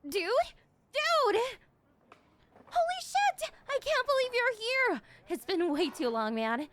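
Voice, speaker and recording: A high-pitched young woman skater, acted by a woman speaks in casual slang to an old friend. She is emotive and halting, affectionate with an apologetic streak. It is an ASMR roleplay recording with a faint layer of background noise. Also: big pitch swings, fairly bright tone, full character voice. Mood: excited surprise. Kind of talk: ASMR roleplay acting, casual one-sided dialogue.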